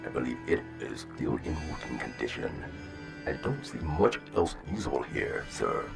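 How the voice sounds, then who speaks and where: A man playing a loyal, formal rabbit first officer in a sci-fi audio drama, measured and deferential. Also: rumbling low, very animated, creaky, over music, heavily acted. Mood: businesslike.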